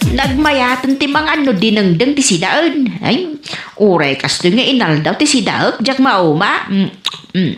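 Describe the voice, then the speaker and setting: Woman acting high, animated Ilocano village mother. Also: unhurried, soft background music. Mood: grumbling. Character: comical Ilocano village mother, earthy and nosy. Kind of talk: Ilocano radio comedy drama acting. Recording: roomy, echoey voice recording.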